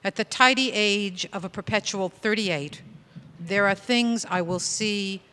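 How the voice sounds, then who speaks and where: Slow-spoken, wry sorceress storyteller. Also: female voice, mid-range voice, pushed and bright. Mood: fond, exasperated. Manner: deliberate, wryly dramatic. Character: wry, fond, ageless sorceress of thirty-eight narrating. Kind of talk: comic storytelling narration in audio theatre. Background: music.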